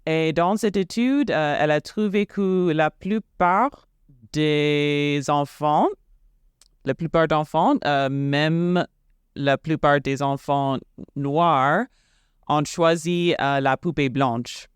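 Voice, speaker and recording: A man interviewing a guest on a podcast, speaking haltingly with frequent fillers. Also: fairly high pitch, smooth, no echo, studio quality. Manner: slow and halting, frequent "euh". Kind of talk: interview questions and reactions.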